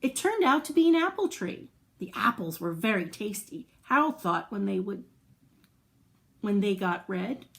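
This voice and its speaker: A woman's voice: medium pitch, big pitch swings, normal speed, creaky, a bit bright. A woman reading a picture book aloud to young children, expressively, with playful asides, questions and little sound effects. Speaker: children's storyteller.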